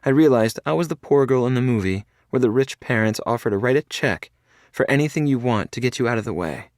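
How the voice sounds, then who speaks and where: A man's voice: medium pitch, animated, brisk, no room sound, studio quality, voice pushed up, close to an everyday voice. A man voicing a frail, sick young woman who is tearful and pleading.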